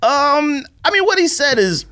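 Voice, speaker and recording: A man's voice: very high voice, very animated, measured pace, bright, forceful tone. A man hosting a podcast in casual, slangy, conversational talk. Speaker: podcast host. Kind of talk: casual sneaker podcast chat.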